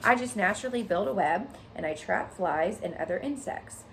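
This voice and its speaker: A woman's voice: medium pitch, lively intonation, moderate pace, creaky, a little hiss or hum, poor audio. Woman voicing a thin-voiced, breezy storybook spider lady. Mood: frank, breezy. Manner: frank, breezy, explanatory. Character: female spider, pleasant, thin-voiced, matter-of-fact, bloodthirsty. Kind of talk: children's storybook read aloud.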